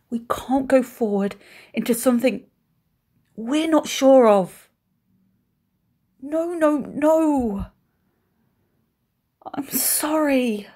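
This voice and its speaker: A woman's voice: fairly high pitch, a little mellow. An actress playing an anguished woman turning down a lover in an emphatic, theatrical monologue. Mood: sorrowful, resolute.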